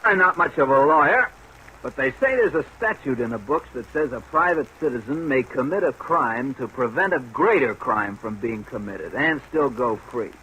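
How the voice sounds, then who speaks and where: A man's voice: mid-range voice, animated, normal speed, sharp tone, poor audio. A man playing a sly, mock-humble schemer with flowery, theatrical speech in a 1950s radio drama. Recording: old radio recording, faint hiss.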